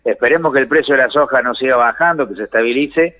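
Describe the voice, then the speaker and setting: A man's voice: medium pitch, fast. Argentine man on a reverberant phone line. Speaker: economics commentator, phone guest. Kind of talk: explanatory radio-style interview answers. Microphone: telephone. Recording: reverberant phone call feed.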